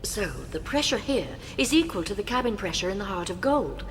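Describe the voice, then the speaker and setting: A woman's voice: mid-range voice, big pitch swings, fairly quick, a little hiss or hum. An actress playing a clever, earnest woman space traveller in a radio drama, composed and coaxing in manner. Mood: tentative.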